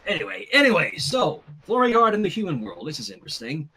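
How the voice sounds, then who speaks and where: A man's voice: high voice, huge pitch swings, fast, a loud crowd. A man hosting a fan fiction reading, playful, narrating the story and breaking off for chatty asides. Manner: playful, self-interrupting. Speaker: host, fan fiction reader.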